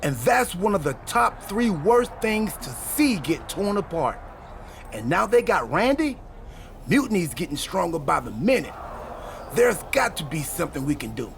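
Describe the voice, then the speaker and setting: A man playing an excitable, goofy, scatterbrained pro wrestler in a video game cutscene, earnestly delivering absurd ideas. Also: high voice, moderate pace, creaky, a little hiss or hum, full character voice. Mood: worried, earnest.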